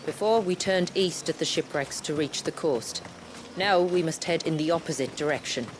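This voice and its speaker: An actress playing a grave village guide who keeps her people's history, speaking in a measured, solemn storytelling way in a fantasy game. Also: low voice, noisy background, natural voice. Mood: guarded, purposeful. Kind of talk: dramatic fantasy game dialogue. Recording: music under the voice.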